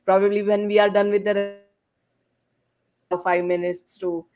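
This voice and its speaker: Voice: mid-range voice, level tone, moderate pace, darkish tone, soft background music. A host moderating a live online panel over a video call, speaking in an informal, chatty and somewhat hesitant way.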